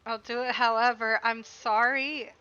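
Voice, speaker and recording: Bright-voiced woman streaming a game. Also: fairly high pitch, fairly even intonation, a little slow, clean tone, highs cut off. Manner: self-deprecating, chatty, muttering reactions. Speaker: live streamer playing a game. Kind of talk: casual game stream commentary.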